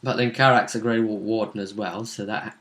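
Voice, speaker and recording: A man with a crisp, bright edge to his voice hosts a tabletop recap. He talks casually as game master, telling the story in pieces and keeping some suspense. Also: lowish voice, steady tone, a little fast. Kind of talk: casual recap of a tabletop role-playing session.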